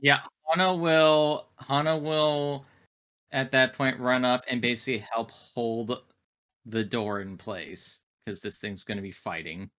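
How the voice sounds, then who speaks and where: Male player, bright tense voice, hesitant starts. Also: medium pitch, unhurried. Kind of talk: playing a tabletop game.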